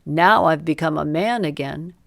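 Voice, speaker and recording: A woman voicing a cunning, smooth-talking male thief in a storybook tale, sly and deliberate. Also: low voice, a bit bright, no echo. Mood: falsely meek.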